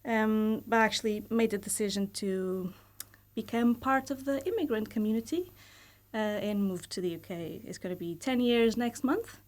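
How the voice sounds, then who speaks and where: A woman in her thirties from Portugal with a Portuguese accent, a university lecturer speaking as a guest in a casual podcast interview. She talks in a thoughtful, measured way, often hedging with fillers. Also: fairly high pitch. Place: podcast studio.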